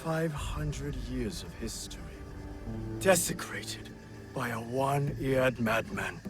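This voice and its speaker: A proud disowned warrior man in a game cutscene. He speaks slowly and impassioned, with very animated pitch. He broods theatrically over clear background music. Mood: brooding. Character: proud, bitter, defiant disowned warrior monk's son. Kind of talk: dramatic video game cutscene acting.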